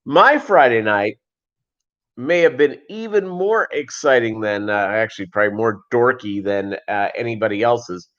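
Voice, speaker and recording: A middle-aged man chatting casually as a livestream host, in a rambling, halting way that often repeats phrases. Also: medium pitch, fairly bright tone. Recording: dull lo-fi livestream audio.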